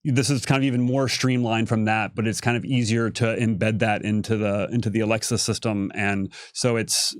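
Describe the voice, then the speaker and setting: A man's voice: lowish voice, little pitch movement, normal speed, hardly any pauses, no echo, studio quality. A man presenting on a livestream in a casual, explanatory way as a creative director. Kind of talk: casual explanatory panel chat.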